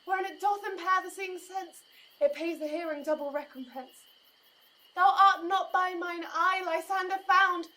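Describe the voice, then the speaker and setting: An actress playing a devoted, anxious young maiden in a Shakespearean audio drama, speaking verse in an earnest, theatrical way. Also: female voice, extremely high pitch, steady tone, normal speed, sharp tone, rough recording. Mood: anxious devotion.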